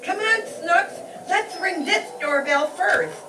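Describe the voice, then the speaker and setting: A woman's voice: extremely high pitch, animated, slow, exaggerated voice. A woman playing an eager little girl playmate in a 1940s radio comedy. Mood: excited. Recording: echoey hall, distant, noisy.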